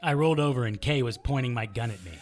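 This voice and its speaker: A man's voice: medium pitch, quick pace, natural voice. A man playing a battered, wisecracking private investigator in an audio drama, narrating in a sardonic, hard-boiled manner. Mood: tense narration.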